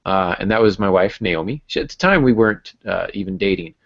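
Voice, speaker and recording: Low-pitched man, chatty podcast host. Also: expressive, fairly quick, lo-fi sound. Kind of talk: casual chat.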